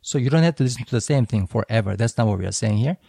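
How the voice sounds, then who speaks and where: A man whose first language is Korean, co-hosting a podcast and explaining ideas in a thoughtful, slightly halting way. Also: mid-range voice, animated, gravelly, dark tone, slightly rough, no room sound, studio quality. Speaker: podcast co-host. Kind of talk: casual educational discussion.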